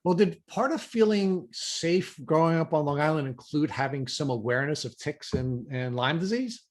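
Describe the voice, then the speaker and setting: An American man from New York hosting a podcast interview, speaking in deliberate, formally phrased sentences. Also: on the high side, animated. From New York, USA.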